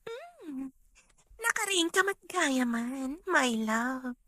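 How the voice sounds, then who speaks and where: A woman's voice: sits high, big pitch swings, very slow, pushed and bright, no room sound, clean studio sound, heavily acted. A woman with an Ilocano accent playing an emotional, pleading woman in a radio drama.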